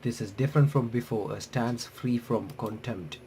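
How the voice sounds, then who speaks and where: A man reading game text aloud and adding casual, halting commentary as a playthrough streamer. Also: mid-range voice, warm tone, a little hiss or hum. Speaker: YouTuber playing and reacting to a visual novel.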